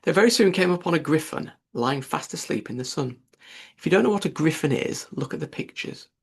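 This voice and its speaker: A man's voice: a little high, expressive, brisk, creaky, breathy edge. A man narrating a children's storybook as a scripted audiobook reading.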